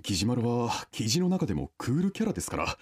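A man's voice: medium pitch, huge pitch swings, fast, breathy edge. A man playing a polite, businesslike monkey companion in a comedic radio drama, speaking formally and deferentially. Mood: polite, mild.

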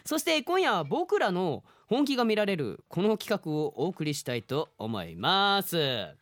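A man's voice: sits high, huge pitch swings, unhurried, a bit bright, dry recording. A man hosting a radio show in playful, casual chat, close on a studio mic.

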